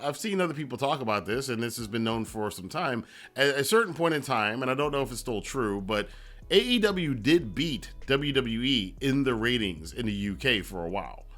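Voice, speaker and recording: Man casually reviewing wrestling, mid-pitched voice. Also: pushed and bright.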